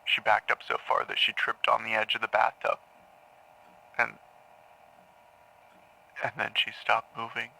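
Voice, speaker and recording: An actor playing a haunted man in an audio drama, confessing in halting, repetitive phrases to a grim crime. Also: fairly low pitch, flat delivery, creaky, sharp tone, heavily acted, poor audio. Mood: numb, grim. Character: haunted man confessing to killing his parents in therapy. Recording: taped therapy session sound.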